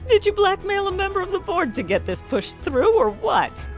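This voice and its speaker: An actress playing an earnest, anxious young woman chemist in a fantasy audio drama, confiding her own story in an expressive way. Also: very high-pitched, moderate pace, soft background music. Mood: flustered, incredulous. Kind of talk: first-person scripted narration in fantasy audio drama.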